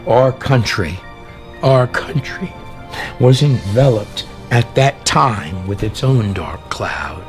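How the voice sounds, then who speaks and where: Deep-voiced man preaching dramatically over music. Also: lively intonation. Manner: theatrical, emphatic, drawn-out dramatic phrasing with rhetorical questions. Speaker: preacher and storyteller. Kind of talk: dramatic sermon with read-aloud prophecy. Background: background music.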